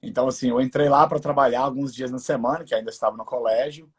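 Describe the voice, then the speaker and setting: An American man who learned the language as a teenager, chatting relaxed in a live interview and often adding "né". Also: mid-range voice, quick pace, slightly rough. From United States. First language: English. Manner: relaxed, laughing, tag questions with "né". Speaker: guest entrepreneur.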